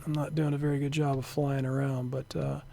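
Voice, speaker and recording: A man narrating an informal software demo as a developer, thinking aloud hesitantly and sometimes trailing off into a mutter. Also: mid-range voice, little pitch movement, a little fast, vocal fry, slight background noise. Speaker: software developer demonstrating a networking tool.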